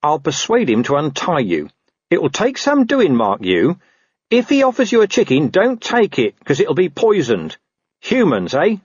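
A man's voice: on the high side, huge pitch swings, moderate pace, breathy edge, dry recording, pitched above the performer's own voice, heavily acted. A man voicing a cynical, scruffy talking street dog who grumbles and wheedles. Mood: grumbling. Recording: dull dry recording.

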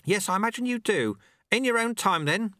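A man voicing a bossy, urgent old monk in an audiobook, barking orders in a commanding, shouting manner. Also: sits high, lively intonation, fairly quick, no room sound, clean studio sound, voice pushed up. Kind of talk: audiobook character voice.

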